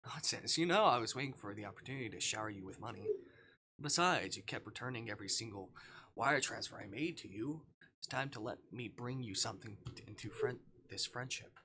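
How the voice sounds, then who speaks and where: A man's voice: medium pitch, expressive, brisk, noisy background, lightly acted. A man voicing a flirty, generous female best friend in a visual novel, teasing and affectionate, tossing out pet names. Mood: coaxing. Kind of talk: reading a visual novel aloud.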